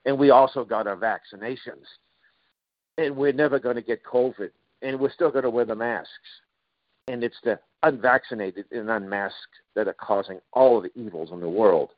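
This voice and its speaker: A middle-aged man delivers a talk radio monologue, sarcastic and halting, full of uhs and restarts. His voice sits at an ordinary male pitch. It comes through a dry, dull lo-fi radio sound. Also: no room sound. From Boston, Massachusetts. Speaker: radio talk show host.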